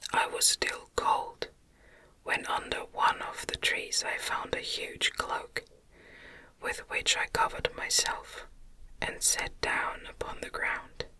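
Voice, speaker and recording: A woman reads a gothic novel in a gentle, soothing, breathy whisper close to the microphone, in an ASMR style. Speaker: audiobook reader.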